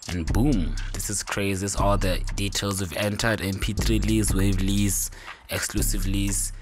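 A man hosting a software tutorial in a casual, informal way, talking through each step with upbeat interjections. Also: on the low side, level tone, normal speed, background noise. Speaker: tutorial host. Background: music.

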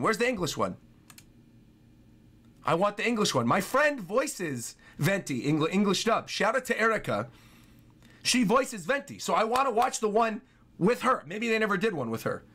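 A man in his twenties giving casual, excitable live commentary as a streamer reacting to game trailers. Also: high-pitched, moderate pace. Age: 20s. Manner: excitable, exclaiming. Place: small furnished room indoors. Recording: game trailer music playing behind.

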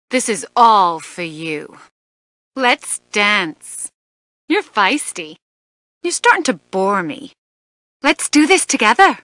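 A playful, haughty succubus from a fighting game. Her delivery is sultry and teasing, with big swoops in pitch and an unhurried pace. The sound is bright and very dry. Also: dry recording, full character voice. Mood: haughty taunting, then battle excitement. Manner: sultry, flirtatious, taunting. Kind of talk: fighting game character voice lines.

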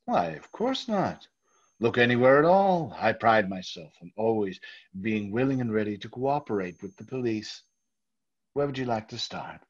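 A man playing a nervous, overpolite murderer hiding his guilt, halting and husky, in old-time radio theater style. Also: mid-range voice, very animated. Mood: smooth, evasive. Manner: halting, over-courteous, stammering. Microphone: video call. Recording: dull, lo-fi video call audio.

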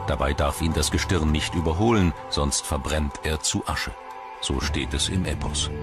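A man reading scripted documentary narration in a measured, dramatic storytelling manner. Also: low-pitched. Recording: music bed behind the voice. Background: music.

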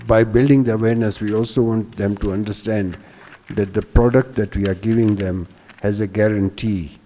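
Older man with a low, mellow voice. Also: fairly even intonation, moderate pace, long reverb tail. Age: 60s. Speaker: panelist, business executive. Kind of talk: explanatory panel talk. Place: hall stage before a seated audience. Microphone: handheld microphone held at the chest.

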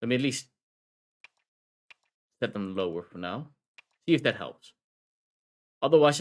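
A man from the Netherlands with a Dutch accent, chatting casually and rambling while streaming a video game, laughing often. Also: mid-range voice.

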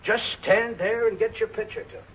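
An actor playing an impatient, crotchety small-town photographer with grumbling, folksy talk in a 1940s radio drama. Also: male voice, high-pitched, normal speed, a bit bright. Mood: exasperated, grumbling. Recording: scratchy, muffled 1940s radio recording.

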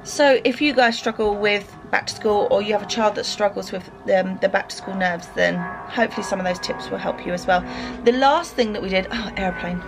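A woman's voice: medium pitch, brisk, creaky, music in the background, low-quality recording. A woman in her thirties with an English accent, talking in a chatty, explanatory way in a casual family vlog. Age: 30s. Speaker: family vlogger, mother. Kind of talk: casual vlog chat to camera. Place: rooms in a family home. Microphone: camera at arm's length or nearby.

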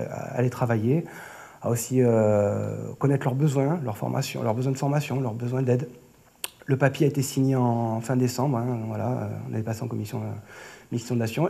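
A man in his thirties with a French accent from France gives a conference talk at a lectern microphone. His voice sits at a medium pitch with a warm, rounded tone. He presents semi-improvised, hesitating and often restarting or rewording phrases. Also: gravelly, breathy edge. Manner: hesitant, often repeating and rephrasing words. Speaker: flood prevention project manager, conference speaker. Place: auditorium stage at a lectern. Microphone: lectern gooseneck microphone.

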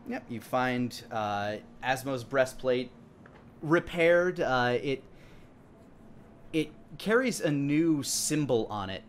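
Halting male game master, noisy background. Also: mid-range voice, animated. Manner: halting, descriptive. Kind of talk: improvised tabletop game narration. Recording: clearly audible background noise.

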